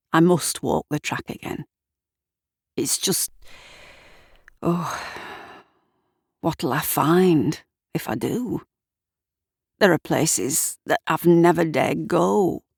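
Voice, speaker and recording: A woman acts out an old man from an audio story, slow and halting. He is plain-spoken, haunted by grief and reluctant to tell his tales, in a close, dry recording. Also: lowish voice, creaky, dry recording, full character voice. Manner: hesitant, plain-spoken, dialect turns of phrase.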